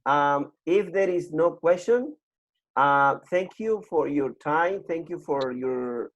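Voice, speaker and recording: A man hosting a webinar on a video call, giving informal closing announcements in a halting way and repeating himself. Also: a little high, animated. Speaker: webinar host. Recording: muffled video call audio.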